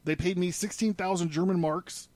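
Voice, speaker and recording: A man's voice: high voice, steady tone, moderate pace, darkish tone. A man presenting a podcast in a studio, reading news articles aloud with informal asides. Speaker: podcast presenter laying out a case.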